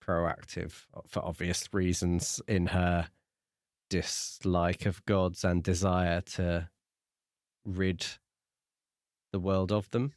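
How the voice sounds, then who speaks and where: A man with a low-pitched voice speaks as a podcast co-host. His talk is casual but measured and thoughtful. The recording is dry, without room reflections. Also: level tone, no echo. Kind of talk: casual book discussion.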